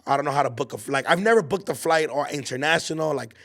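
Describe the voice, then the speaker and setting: A man in his early twenties hosts a casual podcast chat. He talks loosely, uses slang and laughs often. His voice is a bit higher than most men's. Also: fast, a bit bright. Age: 20s. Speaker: podcast host.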